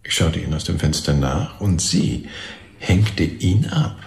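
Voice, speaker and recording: An actor playing an evasive, self-serving informant under questioning, halting and theatrical, dropping into whispers in a radio drama. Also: male voice, deep voice, lively intonation, vocal fry, darkish tone, exaggerated voice. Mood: self-serving, insinuating. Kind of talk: radio drama dialogue, confessional account. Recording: roomy, echoing studio sound.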